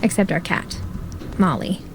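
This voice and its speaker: A woman's voice: fairly low pitch, measured pace, background noise, lightly acted. A woman voicing a wistful young narrator. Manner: quiet wistful storytelling. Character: young woman narrator returning to family home. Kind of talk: game narration.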